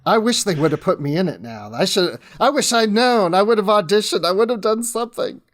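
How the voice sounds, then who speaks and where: A man's voice: sits high, lively intonation, a little fast, a bit bright. A man chatting casually as a podcast guest, with a jokey, easygoing way of talking. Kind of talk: casual chat.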